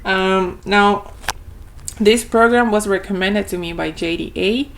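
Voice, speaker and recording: A woman's voice: mid-range voice, unhurried, a little hiss or hum, low-quality recording. A young woman from Argentina, a native Spanish speaker with an Argentine Spanish accent, showing a homeschool reading curriculum page by page in a chatty way, with many ums and restarts. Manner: chatty, with frequent ums and self-corrections. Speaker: homeschooling parent reviewing a curriculum. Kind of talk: casual product walkthrough.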